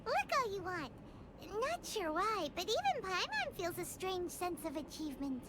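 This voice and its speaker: A woman voicing a tiny, childlike, cheeky female sprite companion in a video game, with a squeaky, bubbly, blunt delivery. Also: big pitch swings, normal speed, very noisy. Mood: cheerful, encouraging. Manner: bubbly, blunt, talks about herself in third person. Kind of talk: English video game voice acting. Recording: loud music behind the voice. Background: loud game music.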